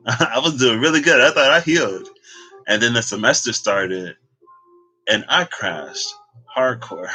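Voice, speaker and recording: A young man in his late twenties talks through a card reading in a candid, reflective way, laughing at himself now and then. His voice sits a little low but sounds bright and forward. Soft music plays faintly underneath. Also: poor audio. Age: 20s. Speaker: tarot reader. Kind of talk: casual spoken card reading.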